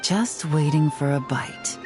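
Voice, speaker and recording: A cool, calculating woman from a game. Her voice is low and composed, with music clearly audible behind it. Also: takes it slowly. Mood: composed, scheming. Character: composed, calculating woman coolly steering a covert scheme. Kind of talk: video game voice acting.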